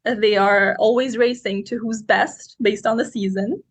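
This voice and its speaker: A woman in her twenties, raised in Egypt, answering interview questions in a talkative, outgoing, expressive way. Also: medium pitch, a little slow, slightly rough. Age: 20s. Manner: expressive, quotes people in lively voices. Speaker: guest.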